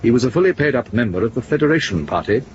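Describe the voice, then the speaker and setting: A man's voice: mid-range voice, very animated, very fast, lo-fi sound, lightly acted. A sardonic, urbane secret service officer, acted by a man in a radio play. He is smug and condescending, with widely swooping intonation and a faintly noisy background. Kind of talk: radio drama acting.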